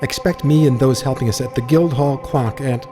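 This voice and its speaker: A man's voice: mid-range voice, normal speed, music in the background, lightly acted. An actor playing a grave, precise male mage teacher in an audiobook. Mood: grave, concerned.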